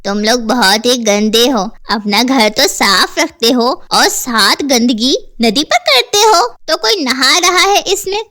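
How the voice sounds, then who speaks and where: A woman's voice: high voice, animated, unhurried, few pauses, exaggerated voice. A woman voicing an angry, powerful river witch in a cartoon horror story, boastful and scolding. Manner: scolding, forceful. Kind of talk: animated Hindi horror story dialogue.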